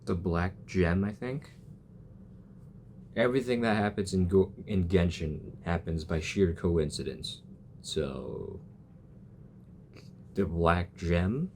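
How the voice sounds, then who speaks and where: A man's voice: low-pitched, slow, pauses often, a little mellow, a little hiss or hum. A man doing casual, blunt and joking reaction commentary as he plays a video game. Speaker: gaming video creator. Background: faint game music.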